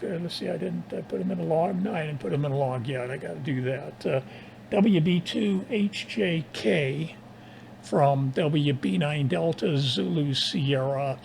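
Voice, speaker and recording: Tired, gravelly-voiced man on ham radio. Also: a little high, darkish tone, a little hiss or hum. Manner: halting, pausing often mid-sentence. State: tired, strained voice after nearly losing it. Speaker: amateur radio operator. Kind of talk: casual ham radio conversation.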